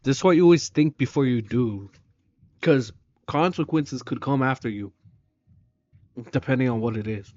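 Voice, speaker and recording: A man's voice: medium pitch, moderate pace, long pauses, darkish tone, no room sound. A man giving casual, slangy reaction commentary, incredulous and prone to swearing. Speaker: reaction video host. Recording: dry, slightly dull microphone sound.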